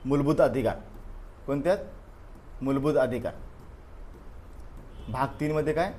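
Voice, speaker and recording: A man's voice: mid-range voice, unhurried, dark tone. A man teaching an exam review class, repeating answers and quizzing his students. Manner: drills answers through repetition and questions.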